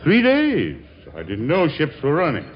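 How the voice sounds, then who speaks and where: Blustering, hearty middle-aged American patriarch; very animated folksy delivery, vintage radio comedy on a muffled recording. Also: male voice, fairly high pitch, normal speed, a little hiss or hum. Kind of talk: 1940s radio comedy acting.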